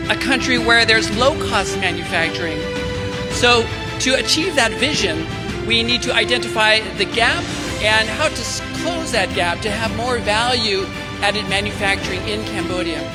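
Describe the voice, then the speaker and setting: A man hosting a panel at a business summit, introducing guests and asking questions in a measured, formal, polite way. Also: sits high, animated, loud music behind the voice. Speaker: panel emcee. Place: large conference hall.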